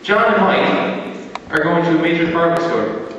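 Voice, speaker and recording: A man's voice: fairly high pitch, lively intonation, normal speed, a bit bright, a little hiss or hum. A young man hosting a live improv show, taking suggestions from the audience and setting up scenes. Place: large hall with a live audience. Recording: echoey hall recorded from far off, dull sound. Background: audience chatter and laughter.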